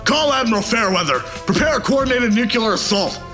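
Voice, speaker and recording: A man's voice: extremely high pitch, animated, fairly quick, music in the background. Man playing a brusque, commanding military captain. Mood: urgent, demanding. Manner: terse, demanding. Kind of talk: audio drama acting.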